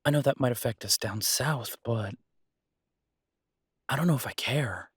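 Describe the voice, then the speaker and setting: A man playing a devoted, easygoing chef and reassuring partner in an intimate audio roleplay, speaking softly and haltingly with a husky voice. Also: medium pitch, no room sound, clean studio sound. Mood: musing, frank.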